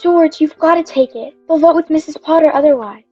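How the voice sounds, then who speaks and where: A woman's voice: sits high, brisk, a little mellow, dull audio, soft background music, natural voice. A woman playing an earnest, urgent board member in old-time radio theatre style.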